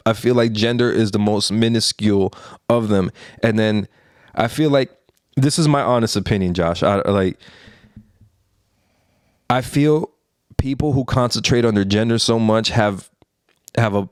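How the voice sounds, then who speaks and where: A man's voice: on the low side, smooth, no echo, clean studio sound. A man in his thirties co-hosting a podcast, giving his opinions in a rambling, earnest way with many fillers and restarts. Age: 30s. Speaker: podcast co-host. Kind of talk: casual opinion chat.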